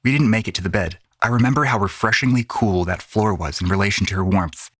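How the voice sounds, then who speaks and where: A man's voice: on the low side, fast talker, husky. A man playing a cocky, jaded young racing pilot who narrates a scripted science fiction audio drama. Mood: wry, reflective. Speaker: narrator and lead actor. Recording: voice over prominent background music.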